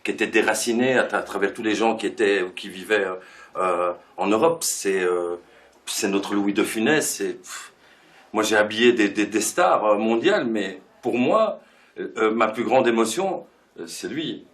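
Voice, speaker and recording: A man from Belgium, a tailor, talking casually in an interview and haltingly repeating his phrases. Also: on the low side, expressive, fairly bright tone. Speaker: tailor and clothing designer.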